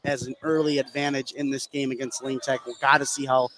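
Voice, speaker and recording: A man's voice: medium pitch, little pitch movement, fairly quick. A man giving conversational, analytical color commentary during a live basketball radio broadcast. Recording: noisy gym, clear background noise.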